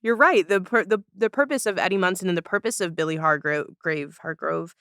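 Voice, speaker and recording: A podcast host speaks in a bright and very clear voice. The delivery is casual and conversational, hesitating while working through a thought. The recording is tight and dry. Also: medium pitch, fairly quick, no echo. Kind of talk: casual interview chat.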